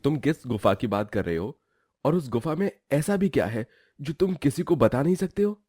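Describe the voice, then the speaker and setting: A man's voice: mid-range voice, sing-song, normal speed, a little mellow, slightly rough, no room sound, clean studio sound. Man playing a lazy, scheming young woodcutter. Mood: sly, demanding. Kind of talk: Hindi cartoon story voice acting.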